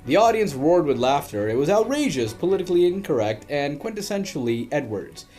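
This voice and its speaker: A man reading a scripted history documentary as the narrator, with a dramatic storytelling delivery and emphatic pauses. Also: a little high, very animated, warm tone, faint music behind.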